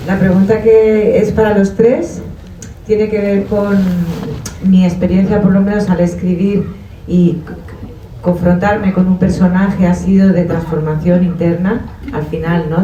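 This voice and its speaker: A woman in the audience at a conference, asking the panel a measured, reflective question. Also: on the low side, little pitch movement, warm tone, a little hiss or hum. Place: conference hall. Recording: dull, echoey hall audio from a distant mic.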